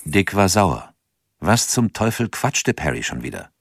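A man giving sober, measured scripted narration for a radio play. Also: low voice, sing-song, creaky, husky, no room sound, clean studio sound.

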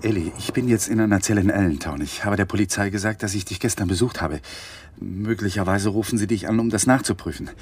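A shaken, evasive young widower in a radio crime drama. His voice is low, and he speaks in a hesitant, subdued manner. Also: fast talker, hardly any pauses, breathy edge, dry recording. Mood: agitated, secretive. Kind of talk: 1980s radio play acting.

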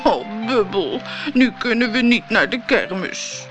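Woman playing a naive, lively little boy starfish. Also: fairly high pitch, slow pace, music in the background, voice pushed up. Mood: tearful. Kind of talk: children's storybook character voice.

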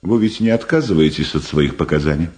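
An actor playing a stern, sardonic male prosecutor in a radio play, questioning in a measured, ironic, insistent way. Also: very deep voice, lively intonation, a little mellow. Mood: sardonic, threatening. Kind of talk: radio play dramatic acting.

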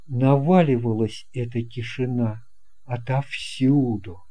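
A storyteller narrating a scripted fairy tale for an audiobook. The delivery is extremely unhurried, and the tone is very mellow and dark. Phrases are set out one by one. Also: medium pitch.

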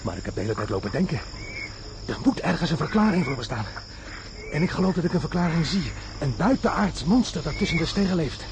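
An actor playing a delirious, obsessed astronaut in a radio drama, raving and repeating himself. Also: male voice, on the high side, sing-song, quick pace. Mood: feverish, intent. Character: delirious, frantic male astronaut stranded in jungle, obsessed. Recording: radio drama with loud insect noise. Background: jungle crickets and insects.

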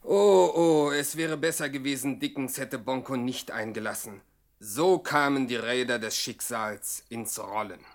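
An actor playing a mock-dramatic, ominous narrator in a radio play, with a portentous delivery. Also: male voice, mid-range voice, unhurried, natural voice. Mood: foreboding.

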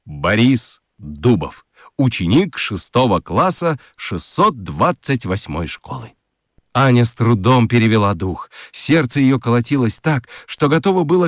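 A man narrating a children's radio story in an expressive, theatrical, confiding way with playful gravity and dramatic pauses. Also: medium pitch, dry recording, clean studio sound. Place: studio. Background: music.

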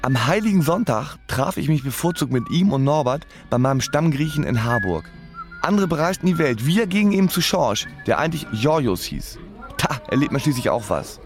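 A man's voice: fairly high pitch, very animated, brisk, soft background music. An actor playing a wry band musician who narrates his shabby past in ornate, mock-literary wording in a radio play. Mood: wry, reflective.

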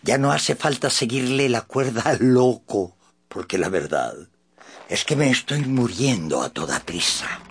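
An actor playing a dying old gentleman, once a mad knight, in solemn, weary, declamatory radio drama style. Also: medium pitch, lively intonation, measured pace. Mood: weary, resigned.

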